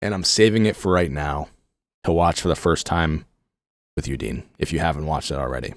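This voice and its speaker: A man co-hosting a casual chat show, his voice going hoarse, reviewing with enthusiasm. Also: a bass voice, a little fast, dry recording, clean studio sound. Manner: enthusiastic, rating and reviewing at length. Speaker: podcast co-host.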